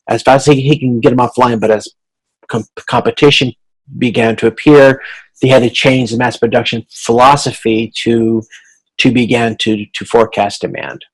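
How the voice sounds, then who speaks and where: A man teaching as a college instructor in an informal recorded lecture, explaining in a halting way and correcting himself as he goes. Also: medium pitch, poor audio.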